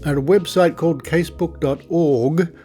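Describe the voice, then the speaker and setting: A man with an Australian accent hosting a podcast, narrating in a deliberate, emphatic storytelling way with asides. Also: a little high, fairly even intonation, dark tone. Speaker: podcast host. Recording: music behind the voice. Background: music.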